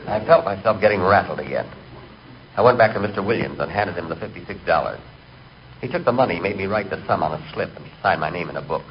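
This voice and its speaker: A man's voice: sits low, lively intonation, fast talker. An actor playing a hapless, melancholy man who narrates his humiliations in a 1940s radio comedy. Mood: rueful, rattled. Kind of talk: comic first-person narration, 1940s radio. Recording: muffled, noisy 1940s radio recording.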